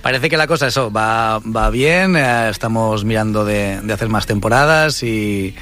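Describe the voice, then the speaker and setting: A man's voice: on the low side, moderate pace, a bit bright. A man who directs and writes a TV series, chatting on the radio in a jokey way and laughing easily. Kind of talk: casual radio chat.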